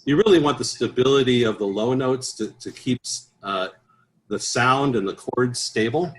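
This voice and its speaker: Male interview guest, medium pitch, conversational and explanatory, halting delivery with repeated phrases. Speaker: interview guest, professional bass singer and choir director.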